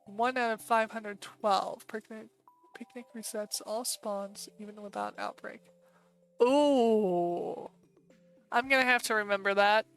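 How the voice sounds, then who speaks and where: A woman with an American accent, casually chatting through a live stream and thinking aloud with quick asides. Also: medium pitch, creaky, clean tone, soft background music. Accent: American English.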